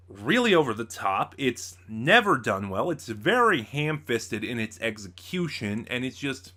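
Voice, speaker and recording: A man with a bright, forceful voice at an ordinary male pitch reviews for his viewers in a casual style. He talks as a host thinking aloud, stopping, starting again and rewording his points as he goes. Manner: halting, thinking aloud, often backtracking and rephrasing. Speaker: audio drama reviewer, channel host.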